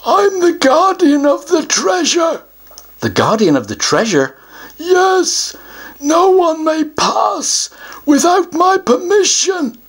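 A woman putting on a high, huffy treasure guardian. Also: very animated, exaggerated voice. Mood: self-important. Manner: quick to take offence, blustering and indignant. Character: vain, touchy guardian creature of a treasure hoard. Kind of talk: children's storytelling with put-on voices.

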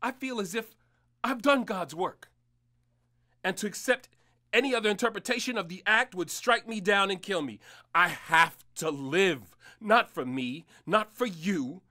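Defensive tormented husband in a radio play, solemn and pleading, bright tense voice. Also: male voice, sits high, animated, a little slow, heavily acted. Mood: fervent, resolute. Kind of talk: dramatic audio drama acting. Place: small recording studio. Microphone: studio mic on boom.

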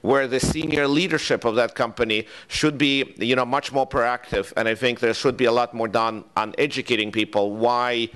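A man on a conference panel making his point in a steady, earnest way. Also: mid-range voice, moderate pace, few pauses, smooth.

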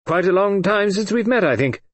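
A man's voice: animated, moderate pace, no echo. A man voicing a fussy, flustered government official in an audiobook, who stammers and hesitates over his words. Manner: hesitant, stammering, higher-pitched. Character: fussy, flustered middle-aged male official. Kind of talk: audiobook character voice.

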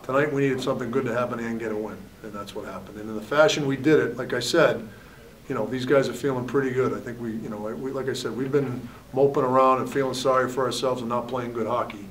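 A man's voice: mid-range voice, fairly even intonation, fast talker, distant mic, poor audio. A man in his forties, a head hockey coach, answering questions candidly at a postgame press conference and often saying "you know". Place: press room at a backdrop. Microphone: table mic, at a distance.

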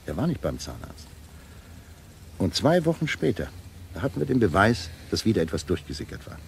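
A low-voiced, shrewd secret-service doctor, dry and sardonic. He is a measured reactionary from a radio play, heard with faint background noise. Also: very animated, vocal fry, heavily acted. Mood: coolly insistent. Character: shrewd, cynical, reactionary male intelligence doctor. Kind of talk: German radio play acting.

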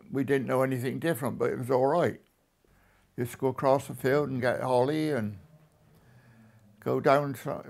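A man's voice: mid-range voice, vocal fry, darkish tone. An older man reminiscing about childhood Christmases in a seated interview in a living room.

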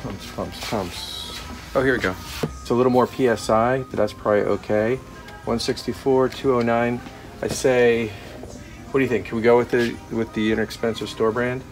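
A man's voice: mid-range voice, fairly even intonation, moderate pace, faint music behind. A man in his forties vlogging casually about his sailboat, explaining repairs in an easygoing way on deck in a marina. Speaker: sailing vlogger. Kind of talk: casual vlog narration. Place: boat deck in a marina, outdoors.

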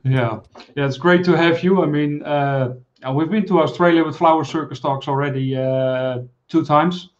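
A man in his forties hosting a live stream interview over a video call, talking in a friendly, halting way with many fillers. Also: on the high side, lo-fi sound. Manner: friendly, halting, many uhs, laughs easily. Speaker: host. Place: small room, indoors.

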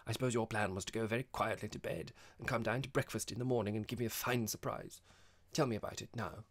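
A man's voice: fairly low pitch, fairly even intonation, fast talker, close to an everyday voice. A man voicing a prim, fussy, middle-aged genteel bachelor who flusters easily, in an audiobook comic novel. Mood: forced gaiety.

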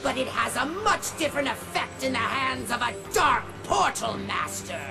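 An actor voicing a short, megalomaniac evil dark sorcerer in a video game, shrill, pompous and taunting. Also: male voice, animated, normal speed, creaky, over music, exaggerated voice. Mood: boastful. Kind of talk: over-the-top cartoon villain acting.

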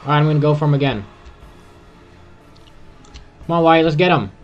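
A man's voice: a little high, a little fast, dull audio, faint music behind. A young man giving casual gameplay commentary, cheering on a teammate. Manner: excited, urging on a teammate. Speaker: gamer.

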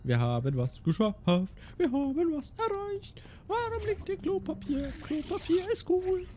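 A man's voice: very high voice, big pitch swings, measured pace, darkish tone, a little hiss or hum. A young man giving casual, goofy commentary over a game and singing silly rhymes. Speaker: let's play host.

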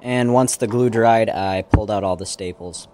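A man's voice: fairly low pitch, normal speed, noisy background. A man narrating a scripted woodworking how-to in a plain, matter-of-fact, step-by-step way. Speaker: woodworker, video host.

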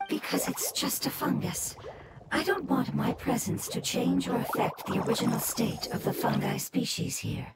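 Gentle mushroom spirit, highish soft voice, lilting, formal, thoughtful, game dialogue. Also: female voice, very animated, very noisy. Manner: measured, formal, reflective. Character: gentle floating water fungus creature, thoughtful and wistful. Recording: game music under the voice.

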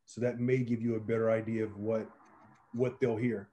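Mellow-voiced man with an Indiana accent. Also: on the low side. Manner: relaxed, measured advice-giving. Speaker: meetup organizer. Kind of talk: casual video call chat. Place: small home office. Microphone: video call.

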